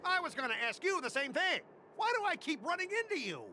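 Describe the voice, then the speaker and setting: A man's voice: very high-pitched, very animated, fast talker, sharp tone, a little hiss or hum, heavily acted. A man voicing a pompous, blustering corporate cargo officer who argues theatrically in a video game. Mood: defiant, irritated. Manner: argumentative, theatrical, exasperated. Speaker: interstellar corporation cargo supervisor. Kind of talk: animated video game voice acting.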